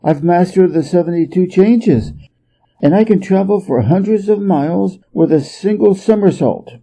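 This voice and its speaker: An impudent monkey from a storybook, played by a male reader. The voice sits high and sounds brash and indignant, full of boasts and challenges, with a soft-edged tone. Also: moderate pace, breathy edge, rough recording. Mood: boastful. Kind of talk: storybook character voice.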